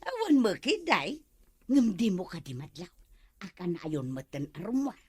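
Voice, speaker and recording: A woman with an Ilocano accent playing a nosy, suspicious village gossip in a radio comedy drama, animated and insistent. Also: mid-range voice, normal speed. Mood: indignant.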